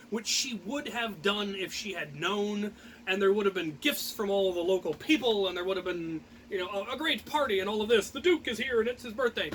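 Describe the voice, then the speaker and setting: High, bright-voiced man telling stories theatrically. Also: slight background noise, low-quality recording. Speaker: storyteller and host. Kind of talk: animated personal anecdote.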